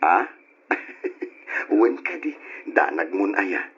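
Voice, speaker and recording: Radio drama lead: young lovestruck suitor, low bright voice, earnest and teasing, dull lo-fi sound. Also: male voice, sing-song, very slow, husky, full character voice. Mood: casual, teasing. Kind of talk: old radio drama acting.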